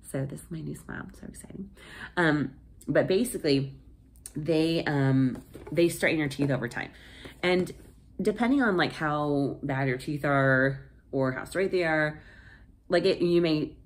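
A woman in her thirties chatting casually to camera in a home office, rambling with lots of fillers and restarts, sounding nasal from a cold. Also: deep voice. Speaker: vlogger. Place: small home office indoors. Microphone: phone camera at desk.

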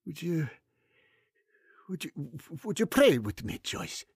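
Older dad, gravelly and husky, gruff yet softening, for audio drama. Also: male voice, on the high side, big pitch swings, takes it slowly, dry recording, clean studio sound, heavily acted. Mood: humbled, tender. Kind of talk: radio drama acting.

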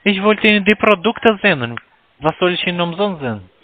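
A man's voice: sits high, animated, brisk. A man on a phone call, talking in a mocking, provocative way. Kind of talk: phone call, taunting chat. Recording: noisy call with clear background noise.

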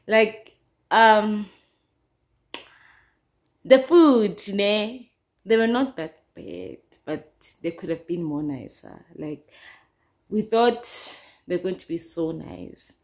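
A young woman chatting loosely to camera as a vlogger, rambling a little as she talks. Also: mid-range voice. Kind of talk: casual chat to camera. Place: small room indoors. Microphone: phone camera held close.

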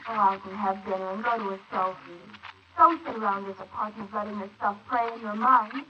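An actress playing a hard, scheming, impatient young woman in a 1940s radio play, brisk, scornful and coaxing. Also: mid-range voice, close to an everyday voice. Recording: muffled, hissy 1940s wire recording.

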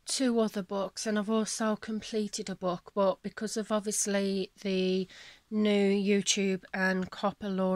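A woman hosting a colouring channel, chatting casually at her table at home, her voice croaky from a cold and her talk apologetic and rambling. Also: mid-range voice, dry recording. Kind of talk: casual chat showing colouring books. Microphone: camera on a selfie stick above the table.

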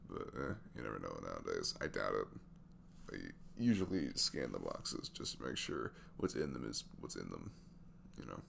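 A man acts out an easygoing, tired single dad in an intimate ASMR roleplay. His voice is extremely low, with heavy vocal fry and lively swings in pitch. He chats softly and hesitantly, rambling along in a sweet, casual way. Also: moderate pace, a little hiss or hum, exaggerated voice. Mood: casual, amused. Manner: hesitant, rambling, soft-spoken.

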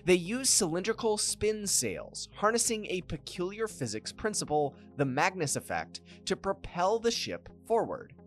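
Narrator, scripted explainer read, animated pitch, mid voice, faint music bed. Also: normal speed, hardly any pauses. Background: faint background music.

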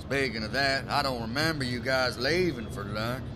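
An actor playing a chatty, tired security guard in an audio drama, casual and folksy. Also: male voice, mid-range voice, normal speed, background noise, natural voice. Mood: friendly, tired.

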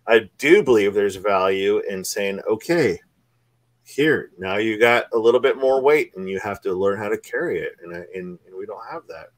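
A man's voice: lowish voice, moderate pace, no room sound. A man in his forties from Oregon, co-hosting a casual book club chat over a video call, talking in earnest, rambling runs with many restarts. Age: 40s. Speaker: book club podcast co-host. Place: small home room. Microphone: studio mic on stand with headphones.

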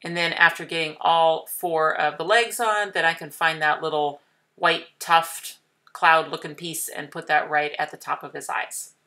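Craft tutorial host, woman in her thirties, low-pitched with a bright, clear tone, patient and explanatory. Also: steady tone, moderate pace. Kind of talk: calm step-by-step craft demonstration.

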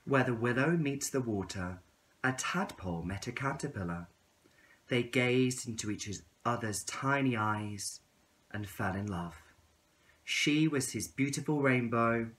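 A man in his thirties reading a storybook aloud to young children in an expressive, deliberate way. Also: medium pitch, rough recording.

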